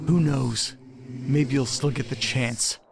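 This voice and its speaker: A man's voice: mid-range voice, steady tone, slow pace, gravelly. A man playing a taunting, defiant renegade antihero in an audio drama, with a casual, mocking delivery. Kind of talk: audio fiction character acting.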